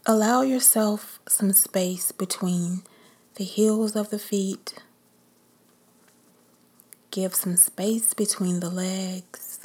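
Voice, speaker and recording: Slow whispering woman meditation guide. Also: mid-range voice, steady tone, dark tone, breathy edge.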